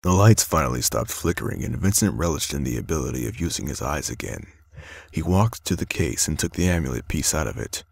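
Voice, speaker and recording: Very deep, gravelly male narrator. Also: expressive, a little fast, no echo. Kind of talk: scripted story narration. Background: music.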